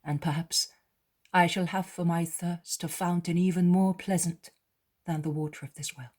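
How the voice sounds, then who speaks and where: Fields: woman reading as a gentle male teacher. Tone: calm. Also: fairly high pitch, natural voice. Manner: gentle, measured.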